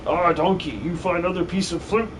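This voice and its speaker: A man's voice: sits high, normal speed, background noise, natural voice. A man voicing a simple-minded stone golem in a video game dub, speaking in blunt, broken caveman-like phrases. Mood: blunt, earnest.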